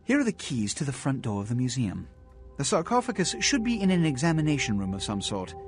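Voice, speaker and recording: An actor playing a haughty, scheming vampire prince in a video game, speaking in a formal, commanding, theatrical way. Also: male voice, mid-range voice, huge pitch swings, brisk, soft background music. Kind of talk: video game character acting.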